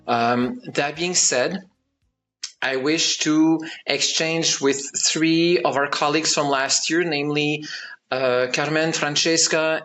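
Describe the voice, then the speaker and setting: A man hosting a live online event, speaking in a formal, measured way with frequent fillers. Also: on the high side, a bit bright. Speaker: event host.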